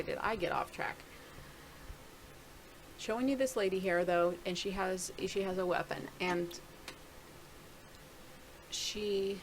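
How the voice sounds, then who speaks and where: A woman's voice: lowish voice, slight background noise, poor audio. A middle-aged woman casually talking through a screen-share video blog, rambling and thinking aloud with many restarts. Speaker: video blogger.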